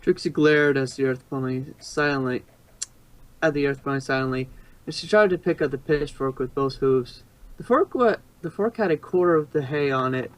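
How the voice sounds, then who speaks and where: A man's voice: mid-range voice, normal speed. A man reading fan fiction aloud as an amateur narrator, sometimes stumbling and rereading phrases.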